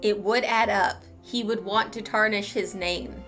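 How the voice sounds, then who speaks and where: A woman's voice: mid-range voice, fairly even intonation, measured pace, fairly bright tone, faint music behind. A woman hosting a game playthrough with casual, playful, snarky commentary. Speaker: gaming channel host.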